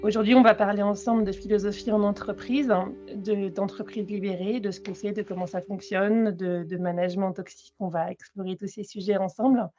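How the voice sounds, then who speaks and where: A woman hosting a podcast interview, welcoming her guest and laying out the topics in a clearly structured way. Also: medium pitch, fairly even intonation, fairly quick, few pauses, mellow, lo-fi sound, soft background music. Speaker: podcast host.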